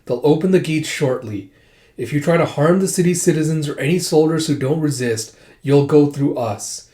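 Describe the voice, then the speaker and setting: A dragon warrior envoy voiced by a male storyteller, calm and stern. He speaks in measured, formal phrases with a mellow tone. The sound is roomy and echoing. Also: on the high side, natural voice. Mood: firm.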